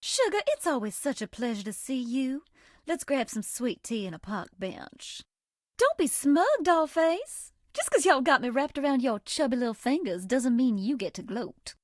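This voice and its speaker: A sugary, high-voiced Southern belle. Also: female voice, sing-song, normal speed, no room sound, clean studio sound. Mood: sweet, teasing. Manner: coy, sugary, folksy. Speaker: voice actress. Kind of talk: video game character voice lines.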